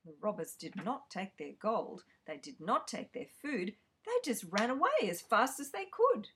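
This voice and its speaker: A woman reading a children's fairy tale aloud, slow and expressive. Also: medium pitch, slight background noise. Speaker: storyteller.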